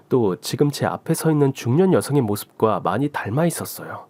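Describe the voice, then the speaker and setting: Calm man narrating, dry close sound. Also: medium pitch, no room sound. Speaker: story narrator. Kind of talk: first-person story narration.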